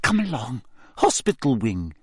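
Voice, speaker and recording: Male reader as strict mature female professor, fantasy audiobook; concerned, protective, animated, dry sound. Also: medium pitch, measured pace, breathy edge, no echo, full character voice. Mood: shaken, hushed. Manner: hushed, pleading.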